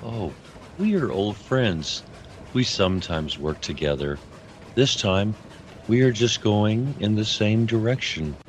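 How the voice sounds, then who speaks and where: A man's voice: lowish voice, animated, slow pace, slight background noise. A man playing a mysterious, compassionate harlequin spirit in an audio fantasy drama, courteous and enigmatic. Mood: polite, evasive.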